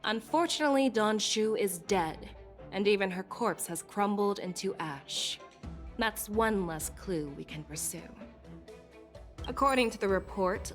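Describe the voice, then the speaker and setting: A woman playing a shrewd, authoritative general in a game cutscene, questioning in a formal, measured and pointed way. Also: mid-range voice, lightly acted. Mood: skeptical. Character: shrewd, authoritative female general conducting a probing interrogation. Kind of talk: scripted game cutscene acting. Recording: background music.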